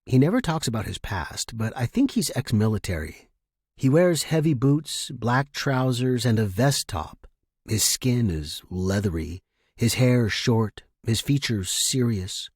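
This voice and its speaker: A man reading first-person fiction as a narrator, in a grave, measured, dramatic manner. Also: mid-range voice, expressive, darkish tone, breathy edge, dry recording, clean studio sound. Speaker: story narrator.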